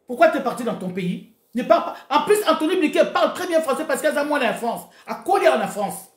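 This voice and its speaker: Exhorting high-voiced Congolese woman, echoey room. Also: sing-song, brisk. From Congo (DRC). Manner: exhorting, mixes Lingala and French, repeats phrases emphatically. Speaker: online political commentator, video host. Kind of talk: impassioned political rant to followers.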